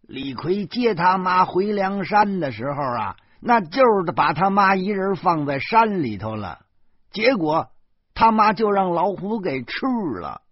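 Fussy stubborn old mom, shrill and loud, guy doing her. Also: very animated, measured pace, breathy edge, dry recording, highs cut off, heavily acted. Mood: indignant. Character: stubborn flustered old mother.

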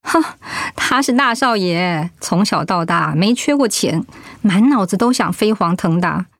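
A woman's voice: on the low side, lively intonation, measured pace, no echo. A woman acting a proud, stubborn middle-aged wife and mother in a radio drama, blunt and sardonic. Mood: bitter, resigned.

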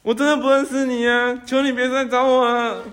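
A frightened young man, played by a teenage boy protests in agitation in a radio play. His voice jumps high with panic. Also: level tone, brisk, a bit bright. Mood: panicked.

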